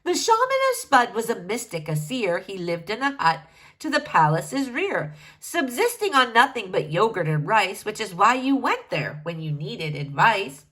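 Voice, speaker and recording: A woman's voice: mid-range voice, sing-song, normal speed, a bit bright, low-quality recording. A woman reading a rhyming children's story aloud, theatrically, with sing-song emphasis. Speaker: storybook reader.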